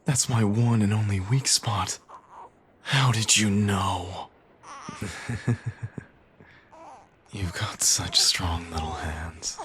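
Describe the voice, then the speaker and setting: A man's voice: on the low side, fairly even intonation, extremely slow, pauses often, a bit bright, a little hiss or hum. A man playing a smug, teasing supervillain and doting father in an intimate ASMR audio roleplay, coaxing and sardonic. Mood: tender, playful.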